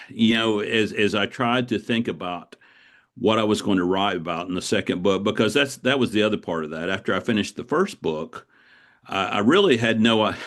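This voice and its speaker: Middle-aged male author as interview guest, low voice, conversational and reflective, with a rambling explanatory style. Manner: discursive, circling back as he explains.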